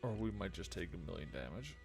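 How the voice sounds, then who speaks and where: A man in his thirties giving casual let's-play commentary, low-key and muttering as he thinks aloud. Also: very animated, quick pace, creaky. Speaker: game streamer. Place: small room at home, indoors. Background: game music.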